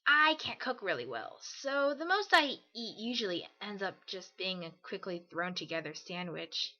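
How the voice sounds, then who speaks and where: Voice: mid-range voice, sing-song, normal speed, sharp tone, highs cut off, pitched above the performer's own voice. A reader voicing a brash, cocky young female pegasus pony from a cartoon, a playful tomboy with a casual, impatient manner. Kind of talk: cartoon character in audiobook reading.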